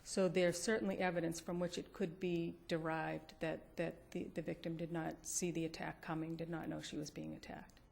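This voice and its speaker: A woman's voice: deep voice, little pitch movement, normal speed, creaky, few pauses, darkish tone. A woman defense attorney giving an appellate oral argument in a courtroom. She is careful and hesitant and often restarts her phrases.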